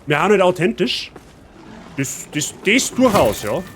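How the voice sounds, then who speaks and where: A man's voice: high-pitched, big pitch swings, measured pace. A man with a Bavarian accent chatting casually with his viewers while playing a game. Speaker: streamer. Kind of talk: casual let's-play chat. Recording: noisy stream audio.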